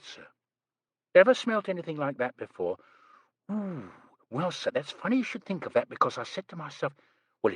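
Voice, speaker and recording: A man's voice: a little high, fairly bright tone, breathy edge, dry recording, heavily acted. A male narrator voicing a nervous, chatty young English housemaid who is prim and says sir constantly. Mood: earnest.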